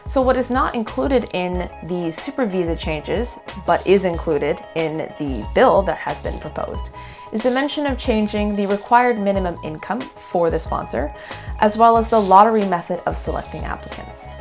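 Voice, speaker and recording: A woman from Canada, hosting an immigration talk show, reading news items and then chatting with a guest in a clear, steady delivery. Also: mid-range voice, normal speed, soft background music. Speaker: host. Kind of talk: news segment then casual chat.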